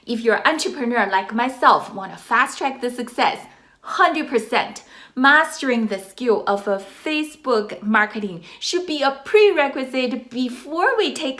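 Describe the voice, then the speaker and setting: Female reviewer, Chinese accent, slightly high pitch; eager, salesy, emphatic delivery. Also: a bit bright. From China. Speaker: online course reviewer. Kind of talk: casual product review to camera.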